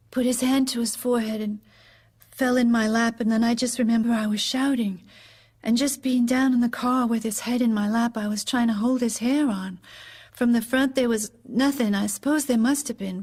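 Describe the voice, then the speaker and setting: An actress playing a grieving widowed First Lady in an audio drama, halting and fragile as she recalls events. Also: fairly high pitch, steady tone, darkish tone, lightly acted. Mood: grief-stricken. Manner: halting, fragile, dazed recollection.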